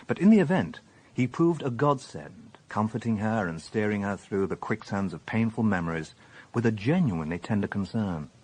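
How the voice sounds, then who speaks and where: Low-voiced, expressive police inspector. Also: male voice, breathy edge, poor audio. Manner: measured, polite, probing. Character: methodical, courteous male police inspector narrating his case. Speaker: narrator and lead detective. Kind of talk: radio drama narration and dialogue.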